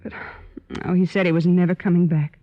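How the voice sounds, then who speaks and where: A woman's voice: fairly low pitch, little pitch movement, brisk, lightly acted. An anxious, protective sister acted by a woman in a vintage radio play. She speaks hesitantly and earnestly, pleading, and the sound is dull and lo-fi. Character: anxious, pleading older sister of wayward boy. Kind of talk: old-time radio drama acting. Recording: dull, lo-fi old radio recording.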